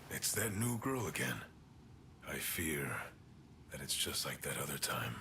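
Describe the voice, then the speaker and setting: A man voicing a big, quiet, gentle high school boy musing to himself in an English anime game dub. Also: sing-song, unhurried, background noise, exaggerated voice. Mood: pensive.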